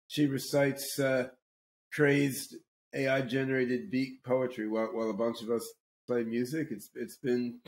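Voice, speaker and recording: A man hosting a casual podcast chat at a middle pitch. He speaks haltingly, stumbling over repeated words and restarting his sentences as he introduces the talk. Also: darkish tone. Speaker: podcast host.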